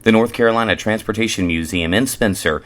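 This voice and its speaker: A man's voice: lowish voice, a little fast, fairly bright tone. A man reading a scripted promotional voice-over as an announcer.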